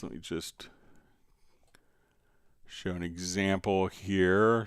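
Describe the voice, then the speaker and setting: A man's voice: on the low side, a bit bright, clear, resonant tone, dry recording, studio quality. An American man hosting a live stream in a casual, courteous, slightly rambling explanatory way. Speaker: live stream host, music software specialist. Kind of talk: casual tutorial live stream talk, answering viewer questions.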